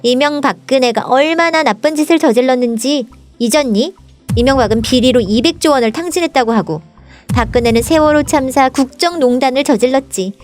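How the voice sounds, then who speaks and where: A high, bright-spirited princess voice acted by a woman argues politics in a storybook parody. She is sharp-tongued and indignant, scolding with emphatic rhetorical questions. Faint music plays behind her. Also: brisk, close to an everyday voice. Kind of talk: scripted political satire dialogue, storybook parody.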